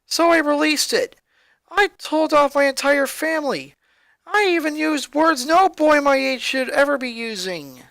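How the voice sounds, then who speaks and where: Woman voicing an upset, high-pitched young boy. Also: animated, a little slow, a bit bright, dry recording, exaggerated voice. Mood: agitated, upset. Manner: emotional, emphatic, bitter. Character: angry, hurt young boy venting about his family. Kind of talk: dramatic fan fiction character reading.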